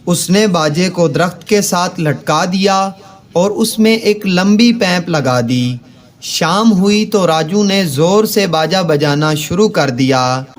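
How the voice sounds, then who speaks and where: A man's voice: high voice, lively intonation, slow. A man narrating a scripted children's moral story.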